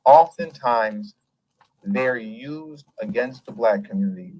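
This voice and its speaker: A man hosting a casual commentary show, talking in an animated, emphatic and rambling way and laughing often. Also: mid-range voice, takes it slowly, rough recording. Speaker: host.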